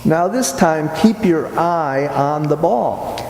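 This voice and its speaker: A man's voice: a little high, expressive, slow pace, vocal fry, a little mellow, heavily acted. A man playing a patient, fussy, mildly scolding straight man in an old-time radio comedy. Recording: echoey hall, noisy background.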